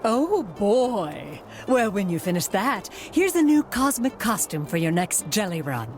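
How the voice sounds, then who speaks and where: A woman's voice: mid-range voice, sing-song, unhurried, loud music behind the voice. A woman voicing a scheming, grandiose fortune-teller villain in a cartoon game, theatrical, haughty and sly. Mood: sly, coaxing. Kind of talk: animated cartoon game voice acting.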